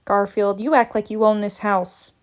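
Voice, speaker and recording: A woman's voice: medium pitch, flat delivery, moderate pace, natural voice. A woman reading aloud as a flustered, fussy cat owner, scolding in an exasperated, raised voice. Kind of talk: storybook read-aloud.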